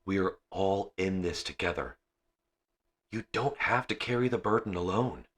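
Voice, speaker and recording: A man's voice: low-pitched, measured pace, a bit bright. A man voicing a loyal, concerned and supportive male starship crewmate in an audiobook story. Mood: caring, reassuring.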